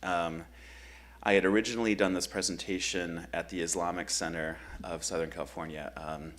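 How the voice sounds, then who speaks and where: A man from Michigan facilitating a grief workshop at a church, talking in a relaxed, conversational way with frequent ums. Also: low-pitched, normal speed. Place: church meeting room.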